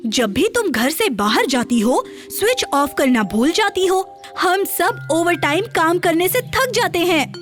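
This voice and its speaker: A woman voicing a teasing, sisterly talking tube light in an animated children's cartoon. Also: extremely high pitch, a little slow, soft background music, pitched above the performer's own voice. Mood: scolding, soothing.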